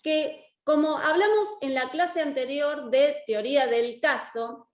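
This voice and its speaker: An Argentine woman with a very high voice teaches an online class. She is encouraging and often checks in with short questions. Her voice rings in an echoey room. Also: takes it slowly. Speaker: course teacher and lawyer. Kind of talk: interactive online lecture.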